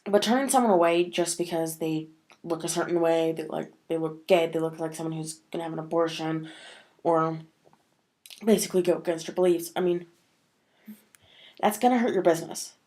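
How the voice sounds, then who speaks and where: Woman, low-ish voice, sniffly, rambling casual vlog talk, filler-heavy. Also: fairly even intonation, normal speed, pauses often, darkish tone. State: sniffly with a cold. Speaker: vlogger.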